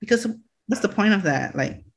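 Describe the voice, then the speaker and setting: A young man with a slightly raised pitch. He chats loosely in an interview, stringing thoughts together with "like" and breaking into laughs. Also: lively intonation, moderate pace, gravelly. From Malaysia. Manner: rambling, constant "like" fillers, laughs often. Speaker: social media creator, guest. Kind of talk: casual interview chat.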